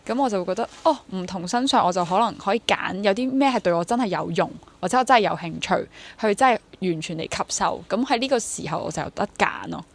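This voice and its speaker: A young woman with a Hong Kong accent shares her experiences in a conversational interview. Her voice sits at an average pitch for a woman, and she mixes English words into her sentences as she explains things. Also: animated, fast, few pauses. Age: 20s. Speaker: interview guest, freelance stage actor.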